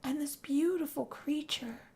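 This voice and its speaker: A woman's voice: high voice, measured pace, darkish tone. A woman narrating a role-play story in first person as a lovestruck, weary young heroine, in a theatrical, sighing manner. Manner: dramatic, breathy sighing delivery. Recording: music under the voice.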